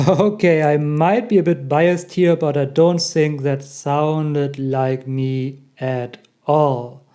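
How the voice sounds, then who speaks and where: German-accented man with a mellow voice. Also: a little high, fairly even intonation, slow pace, few pauses, clear, resonant tone. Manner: chatty, laughs often at results. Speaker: tech tutorial host. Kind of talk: casual screen-recorded tutorial.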